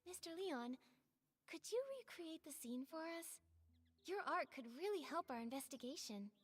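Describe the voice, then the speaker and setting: A woman voicing a gentle, polite young girl heroine in a video game, soft and earnest. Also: high voice, lively intonation, normal speed, soft background music. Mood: encouraging. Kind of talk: game voice acting.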